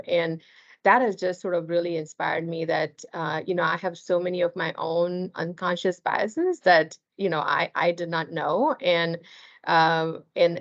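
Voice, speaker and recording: Female panelist, deep register, hesitant unscripted delivery with repeated phrases, slightly muffled audio. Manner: halting, repeats phrases, often says "you know". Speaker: panelist, accounting firm executive. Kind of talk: panel discussion, unscripted personal story.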